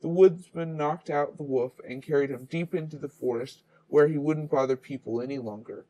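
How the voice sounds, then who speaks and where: A man's voice: a little high, moderate pace, warm tone, poor audio. A man reading a children's fairy tale aloud as the storyteller.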